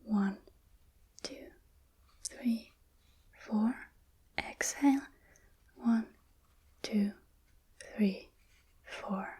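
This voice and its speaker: Softly whispering woman guiding breathing. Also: medium pitch, husky. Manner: calm, counts slowly aloud. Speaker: breathing instructor. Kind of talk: whispered guided breathing lesson.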